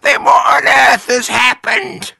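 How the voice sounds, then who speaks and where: A man voicing a shrill, gullible, panicky old wife in a children's storybook, wailing and drawing out the exclamations. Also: sing-song, vocal fry, bright, forceful tone, no echo, raised voice, full character voice. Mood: bewildered alarm. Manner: shrieking, wailing, drawn-out exclamations.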